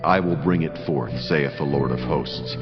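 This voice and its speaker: A mighty, solemn angel acted by a man declaims scripture in a very low voice. The delivery is stately and commanding. It rings in long reverb over clear background music, with a slightly muffled sound. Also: a little slow. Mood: solemn, authoritative. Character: solemn, commanding angel speaking God's word, male. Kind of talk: dramatized scripture reading.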